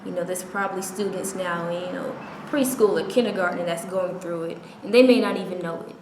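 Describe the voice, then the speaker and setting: A young woman student reflects slowly and hesitantly in an interview. Her voice sits slightly low. The room echoes, and clear noise sits behind her. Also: darkish tone. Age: young adult.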